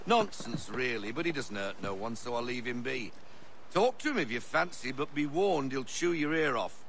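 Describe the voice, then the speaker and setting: An actor playing a jovial, middle-aged ship's first mate with a jaunty, bantering manner in a video game. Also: male voice, mid-range voice, animated, moderate pace, creaky, fairly bright tone, a little hiss or hum. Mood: easygoing, bantering. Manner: jaunty, bantering, barking orders at times.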